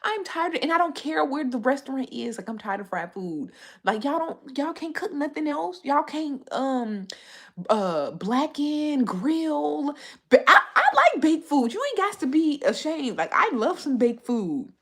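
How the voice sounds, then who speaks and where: A woman's voice: high voice, sing-song, measured pace, a bit bright. A woman hosting a casual livestream, chatting and answering viewer comments, a bit stuffy from a cold. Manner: chatty, rambling, reads viewer comments aloud. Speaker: livestream host.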